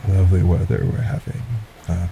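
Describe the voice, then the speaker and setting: A man voicing a polite, sinister male stranger in an audio roleplay, speaking formally and courteously with a soft, breathy menace. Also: low-pitched, completely flat delivery, moderate pace, dull audio, noisy background. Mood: smooth, courteous.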